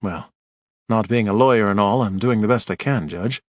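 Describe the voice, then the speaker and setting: A man's voice: on the low side, moderate pace, dry recording, studio quality, close to an everyday voice. A man voicing a blunt, plainspoken man who presses a witness with folksy, dogged questions.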